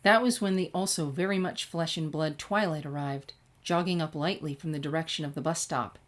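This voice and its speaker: A woman reading a scripted story aloud in expressive narration. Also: low voice, brisk. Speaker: fan fiction reader.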